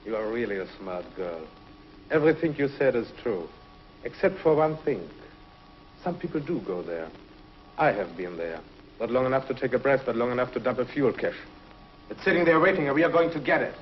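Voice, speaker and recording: A man's voice: medium pitch, lively intonation, fairly quick, close to an everyday voice. A man playing a sneering space villain. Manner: sneering, threatening. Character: menacing male space villain holding ship crew hostage. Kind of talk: 1950s TV drama acting. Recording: muffled old TV soundtrack with faint hiss.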